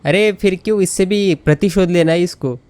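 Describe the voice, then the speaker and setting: A woman's voice: fairly low pitch, racing, darkish tone. A woman with an Indian accent, a Hindi native, giving chatty, casual gameplay commentary as she reacts to dialogue. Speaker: gaming commentator.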